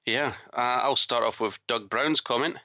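A man's voice: medium pitch, moderate pace, sharp tone, no room sound, clean studio sound. A man co-hosting a podcast, chatting casually in a reflective, agreeable way. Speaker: podcast co-host.

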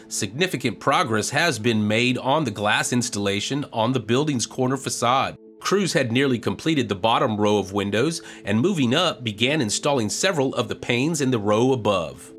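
Man narrating a measured news voice-over. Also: medium pitch. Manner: even, measured reporting tone.

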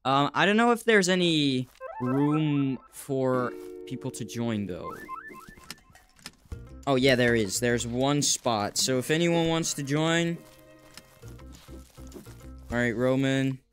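A man's voice: medium pitch, slow, long pauses, soft background music. A young man streaming a VR game live, chatting casually with viewers while he plays. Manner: relaxed, chatty, answers viewers while playing. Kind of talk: casual live gameplay chat. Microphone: desk mic, wearing a VR headset.

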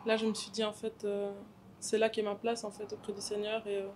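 A young woman guest recounting her story, soft-spoken with many fillers. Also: mid-range voice, monotone, a little fast, a little hiss or hum. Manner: soft, frequent "en fait" and "euh". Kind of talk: personal testimony in interview. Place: hotel lounge, indoors.

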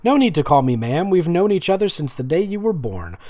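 A man's voice: on the high side, sing-song, a little fast, a little mellow, voice pushed up. A man voicing a doting, genteel witch mother from fan fiction, sweet and fussing.

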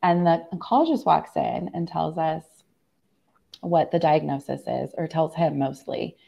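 A middle-aged American woman, hoarse from laryngitis, telling a personal story as a guest in a casual video-call interview. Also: low-pitched, a little slow, dark tone. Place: room at home, on a video call.

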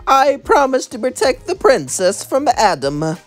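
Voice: high-pitched, sing-song, unhurried, faint music behind, voice pushed up. A man voicing a cheerful, goofy cartoon plumber hero with a mock Italian accent and bouncy, simple speech. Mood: defiant, playful. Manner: exaggerated, broken simple English, bouncy.

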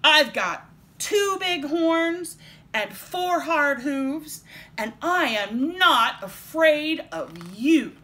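Woman voicing a big, booming, fearless billy goat. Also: sing-song, exaggerated voice. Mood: bold, defiant. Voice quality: big and loud. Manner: slow, bold, declaiming. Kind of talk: children's storybook character voice.

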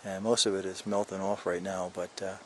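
A man's voice: sits low, little pitch movement, a little fast, a bit bright, a little hiss or hum. An older man casually narrating a hike outdoors, rambling and joking with lots of fillers, filmed on a handheld camera. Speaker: hiker filming a vlog. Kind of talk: casual narration while hiking. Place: outdoors on a mountain trail, windy.

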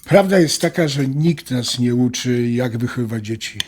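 An actor playing a calm, philosophical middle-aged husband and father in a naturalistic audio drama. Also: mid-range voice, moderate pace, lightly acted. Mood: earnest, reflective. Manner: philosophical, conciliatory. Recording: light background noise with tableware clinks.

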